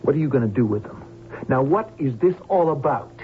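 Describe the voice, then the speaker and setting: An actor playing a blunt, sardonic airline captain held hostage, in an old-time radio drama. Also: male voice, medium pitch, lively intonation, a little fast, lightly acted. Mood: incredulous, defiant. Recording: tinny, hissy old radio recording.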